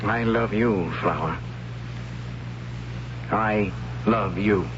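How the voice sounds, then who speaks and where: An actor playing an earnest tribesman of a future world, halting and deliberate, in a 1970s radio drama on a dull, noisy recording. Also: on the low side. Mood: tender.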